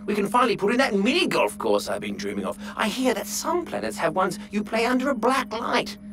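A woman's voice: mid-range voice, sing-song, fairly quick, noisy background, lightly acted. A woman playing an effusive alien resort manager in a sci-fi comedy audio drama, gushing and melodramatic. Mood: cheerful. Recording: music behind.

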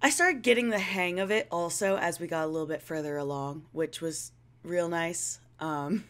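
A woman's voice: fairly low pitch, normal speed, a bit bright. A young woman giving casual, rambling commentary as a video creator, playful and self-deprecating.

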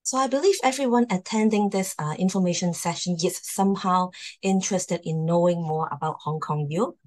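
A woman's voice: on the low side, fairly quick, a little mellow. A woman hosting and presenting in a formal slide talk over a video call. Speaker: host, university admissions presenter.

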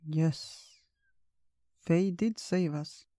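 A wise old bear from a storybook , as a man puts him on, gentle and unhurried. He speaks slowly and warmly, like a grandfather telling tales. The recording is dry and close. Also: a little high, no room sound. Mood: kindly. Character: kindly wise old male bear, slow-spoken.

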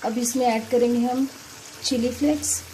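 Woman talking thru a recipe step by step, kinda high voice, pan sizzling loud behind her. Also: normal speed. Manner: calm, plain instructions, softly murmurs a blessing before adding each ingredient. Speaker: cooking channel host. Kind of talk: step-by-step cooking narration. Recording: noisy kitchen recording with sizzling. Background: frying and sizzling in the pan.

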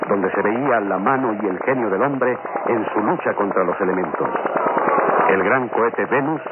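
A man's voice: fairly low pitch, phone-quality sound. Solemn male narrator on noisy old radio. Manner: solemn, declamatory. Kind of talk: dramatic scripted narration, vintage radio serial.

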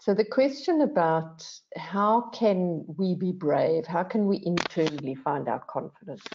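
A woman's voice: lowish voice, animated, a little slow, background noise. An older woman speaking as a panel guest, earnest and emphatic, often posing questions to herself and adding "right?". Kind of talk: reflective discussion. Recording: dull lo-fi video call audio.

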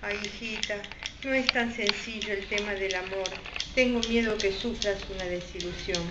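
Woman as caring Argentine mother, anxious radio-serial role, medium pitch, heavy noise. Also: steady tone, moderate pace, lightly acted. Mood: tender, worried. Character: caring, worried mother of a grown daughter. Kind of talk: radio soap acting. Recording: echoey, noisy, muffled home recording.

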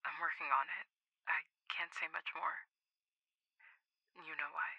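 A woman's voice: low-pitched, steady tone, measured pace, vocal fry, sharp tone, breathy edge, no room sound, heavily acted, low-quality recording. A woman playing a guarded female ally giving an urgent warning in an audio drama, terse and tense. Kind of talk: audio roleplay acting.

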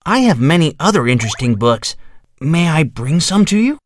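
A woman's voice: low-pitched, big pitch swings, normal speed, a little hiss or hum. A woman doing a polite, earnest young man.

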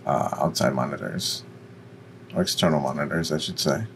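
A man with a deep voice giving an informal, explanatory software tutorial. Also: moderate pace, slight background noise. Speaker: tutorial presenter.

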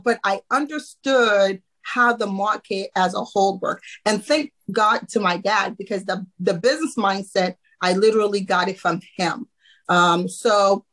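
A woman in her thirties, born in Haiti and raised in Florida, a guest accountant telling her story with many "um"s over a video call from home. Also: mid-range voice. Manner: warm storytelling with frequent "um" and laughs. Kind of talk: casual podcast interview.

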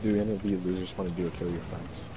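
A man's voice: low voice, flat delivery, racing, very noisy. A man chatting casually with other players in a group game chat. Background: loud game music.

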